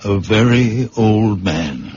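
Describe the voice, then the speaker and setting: An actor playing a very old farmer and healer, humble and stubborn, speaking plainly and haltingly in a radio drama. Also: male voice, lowish voice, lively intonation. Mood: grave, humble. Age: older. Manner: slow, plain-spoken, halting. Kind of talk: 1970s radio drama acting. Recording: dull, very noisy old radio recording.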